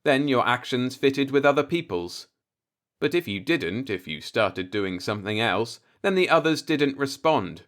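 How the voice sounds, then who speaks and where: A man's voice: mid-range voice, lively intonation, a little slow, a bit bright. Brooding professor, voiced by a man, musing bitterly. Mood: bleak musing. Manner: musing, pointed, rhetorical monologue. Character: brooding, disillusioned male professor philosophizing bitterly. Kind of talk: audiobook character monologue.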